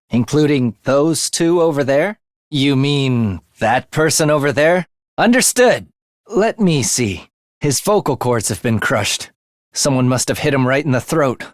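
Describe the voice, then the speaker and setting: A man's voice: medium pitch, sing-song, measured pace, no room sound, studio quality. A man voicing an eerie, bloodthirsty young male student in a video game, with a sinister, theatrical manner that savors morbid details. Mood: matter-of-fact. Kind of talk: video game character voice acting.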